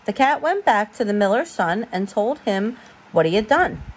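Woman, parent at storytime, animated intonation, a touch husky, homely and informal read-aloud. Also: mid-range voice, normal speed. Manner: expressive, informal, stumbles over words. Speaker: parent reading a bedtime story.

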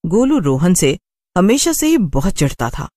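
A man's voice: sits high, sing-song, moderate pace, a little mellow, no room sound, clean studio sound. A man narrating a scripted children's horror story as a storyteller.

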